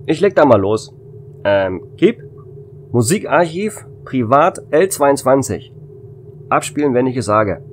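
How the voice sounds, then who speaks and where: A man's voice: medium pitch, very animated, unhurried. A man playing a wry, easygoing space station worker in a German radio play, with a casual, teasing manner. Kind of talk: amateur radio drama acting. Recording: faint noise and hum.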